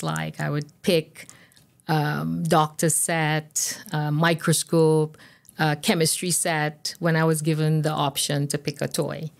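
An older woman gives measured, explanatory answers as a pediatrician guest in a conversational interview. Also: low voice, creaky. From Trinidad and Tobago. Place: small indoor podcast studio. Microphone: boom-arm podcast microphone.

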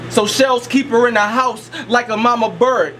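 A young man from Indiana with a high voice delivers battle-rap bars in a relaxed, joking way, breaking off to talk to the crowd. He is picked up by a low camera in a packed lounge, with clear noise all around. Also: moderate pace. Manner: laid-back, laughs between bars, talks to the crowd. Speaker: battle rapper. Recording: noisy room recording.

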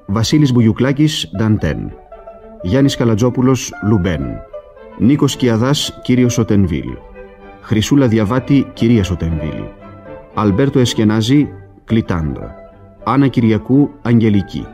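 Man, lowish mellow voice, lively melodic delivery, formal radio credits announcer. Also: pauses often. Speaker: announcer reading cast and credits. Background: violin music.

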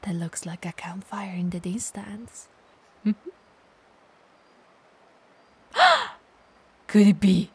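A woman's voice: medium pitch, steady tone, measured pace, warm tone, a little hiss or hum, close to an everyday voice. A woman playing a playful, clumsy, bloodthirsty female vampire who mutters theatrically to herself in an ASMR audio roleplay. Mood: excited, sneaky.

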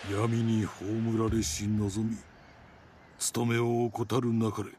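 An actor playing a solemn figure in a samurai video game, urging duty in measured, archaic words. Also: male voice, lowish voice, flat delivery, noisy background. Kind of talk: video game cutscene acting.

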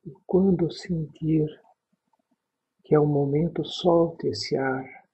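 A Brazilian man leads a relaxation exercise at a very slow, unhurried pace. His voice is husky and breathy, at a medium pitch, with calm gaps between short instructions. The recording is dull and a little muffled. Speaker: guided meditation narrator. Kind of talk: guided sleep meditation, soothing instruction.

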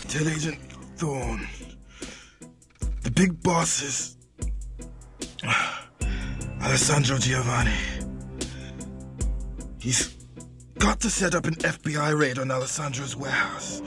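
Gasping, desperate wounded agent. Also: male voice, medium pitch, takes it slowly, long pauses. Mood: desperate, pleading. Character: desperate, wounded male undercover police agent pleading. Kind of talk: video game cutscene acting. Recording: music behind the voice.

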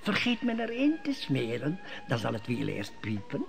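A crafty court elder plotting in hushed, riddling turns. His voice is deeply gravelly and swings up and down as he insinuates. It is an old radio play with music faintly underneath. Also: huge pitch swings, normal speed. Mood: scheming. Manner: sly, speaks in riddles. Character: scheming court elder, male, cunning. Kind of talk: 1950s Dutch radio drama acting.

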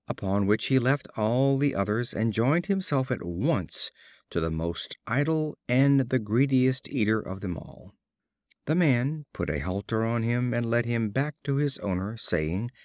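A man narrating short fables as a scripted storybook reading, in a deliberate, expressive storytelling manner. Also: fairly low pitch, dry recording, studio quality. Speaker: audiobook narrator.

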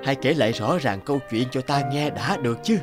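A stern older businessman in a cartoon played by a male actor. He is a busy, commanding figure with a middle-pitched voice and a soft spot for children. Music runs under his words. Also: lively intonation, fast, natural voice. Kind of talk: cartoon voice acting. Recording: music bed behind the voice.

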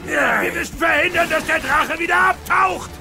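A man playing a burly, commanding Viking in a children's audio drama, barking forceful orders. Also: very high voice, normal speed, vocal fry, over music. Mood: urgent, commanding.

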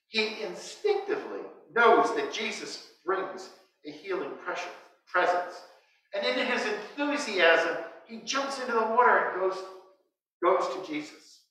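Older american guy in his 60s giving a sermon, bright voice, super echoey church, mic kinda far away. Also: high-pitched. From United States. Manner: measured, short phrases with pauses. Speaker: priest. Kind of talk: sermon read from notes. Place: inside a church, standing before the altar. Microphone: distant microphone, speaking through a face mask.

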